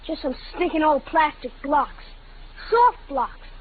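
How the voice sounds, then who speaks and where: Very high, sulky little boy. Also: animated, slow pace. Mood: upset, indignant. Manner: whiny, childish complaining. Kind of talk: 1950s radio drama acting. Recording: tinny, noisy 1950 radio recording.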